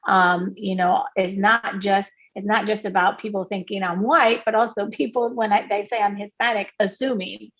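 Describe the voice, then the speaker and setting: A woman's voice: medium pitch, fairly even intonation, normal speed, hardly any pauses, a bit bright, highs cut off. A woman from Puerto Rico, a guest in an interview, talking earnestly with many restarts and fillers. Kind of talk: candid interview conversation.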